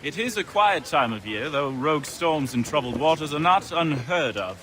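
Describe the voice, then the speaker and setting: An actor playing a dutiful, reassuring sailor in a video game, polite, with old-fashioned nautical wording. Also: male voice, fairly high pitch, lively intonation, moderate pace, sharp tone, slight background noise. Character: dutiful, reassuring male sailor of a merchant ship. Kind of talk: dramatic video game voice acting.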